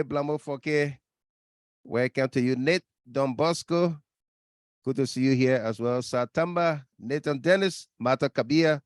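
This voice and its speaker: A man's voice: fairly high pitch, dry recording, studio quality. A man hosting a casual live call-in talk show, chatting and greeting listeners.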